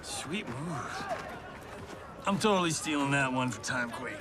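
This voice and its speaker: An actor voicing a cocky, goofy action star who wisecracks in a video game cutscene. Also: male voice, mid-range voice, lively intonation, a little slow, over music, natural voice. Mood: flippant.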